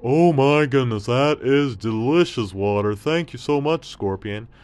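A man's voice: mid-range voice, a little slow, a little mellow, voice pushed up, close to an everyday voice. A man voicing a big, good-natured camel in a children's story, speaking in a polite, plain and slightly hesitant way. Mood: delighted, friendly.

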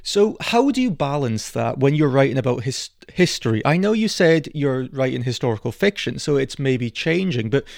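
A man's voice: mid-range voice, very animated, moderate pace, creaky, hardly any pauses, a little mellow, no room sound, clean studio sound. A man hosting a podcast, chatting casually and putting questions to his guest. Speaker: podcast host.

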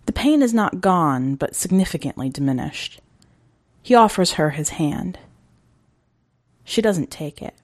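A woman narrates a short story in a close, dry recording. Her delivery is slow and measured, with a dramatic touch suited to scripted fiction. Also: lowish voice, lively intonation, no room sound. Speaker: podcast host reading a short story.

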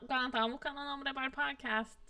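A woman's voice: sits high, steady tone, quick pace, pushed and bright. A woman co-hosting a podcast, chatty and laughing easily as she switches between Spanish and English. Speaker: podcast co-host. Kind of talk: casual podcast chat.

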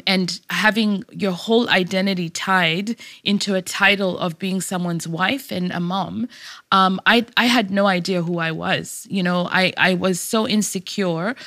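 A woman's voice: lowish voice, a bit bright, no echo, clean studio sound. A woman in her forties, from Zimbabwe, telling her personal story in a reflective way, full of "you know.". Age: 40s. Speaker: guest speaker. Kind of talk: personal testimony.

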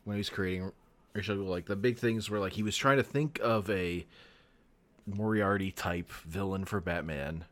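A man co-hosting a podcast, giving background in an informal, unscripted and slightly halting way. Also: low voice, fairly bright tone, no echo. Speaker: podcast co-host. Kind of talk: casual unscripted explanatory chat.

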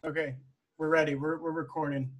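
A male podcast host asks questions in a relaxed, casual way. He often repeats words as he goes. His voice sits slightly high for a man. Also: fairly quick, over loud music, low-quality recording. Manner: friendly, stammers and repeats words. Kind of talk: casual remote interview.

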